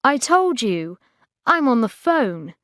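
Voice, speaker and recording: A woman's voice: a little high, very animated, slow, fairly bright tone, dry recording, clean studio sound, pitched above the performer's own voice, full character voice. A woman voicing a curt, irritated, evasive young man, a boyfriend in an audiobook. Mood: curt, wary. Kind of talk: audiobook character acting.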